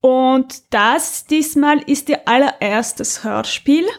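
A woman with a fairly high voice co-hosts a podcast. She speaks in a casual, conversational style as she presents audio dramas. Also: slow pace. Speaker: podcast co-host.

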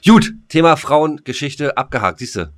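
A middle-aged man co-hosting a podcast in casual, joking chat, halting and repeating himself for emphasis. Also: a little high, lively intonation, no echo. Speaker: podcast co-host.

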